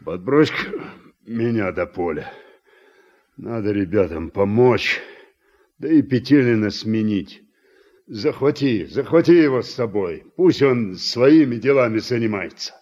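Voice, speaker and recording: An actor playing a middle-aged, plain-spoken Soviet farm man with an earthy, deliberate way of talking, in a radio drama. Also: lowish voice, lively intonation, a little mellow. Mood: friendly. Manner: earthy colloquial speech, reproachful and deliberate. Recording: dull, muffled old radio recording.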